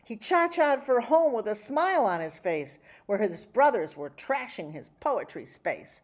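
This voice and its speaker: Woman reading a storybook, slow and very lively. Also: fairly high pitch. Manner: theatrical, measured phrasing with dramatic emphasis. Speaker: children's story reader. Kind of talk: expressive picture-book read-aloud in rhyme.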